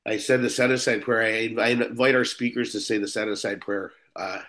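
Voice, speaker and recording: A man's voice: fairly low pitch, fairly even intonation, brisk, a bit bright. A man in his fifties from Buffalo, New York, sharing his story as a speaker at a recovery meeting in a rambling way, with many restarts and fillers. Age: 50s. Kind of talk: personal recovery talk.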